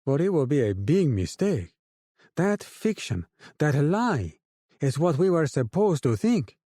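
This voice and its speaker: Guy doing a slick young drug lord with a spanish accent, higher and swingy, falsely friendly speechmaker, dry sound. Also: very animated, measured pace, warm tone, dry recording. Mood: rousing. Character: young oily male drug lord, smooth-talking rabble-rouser.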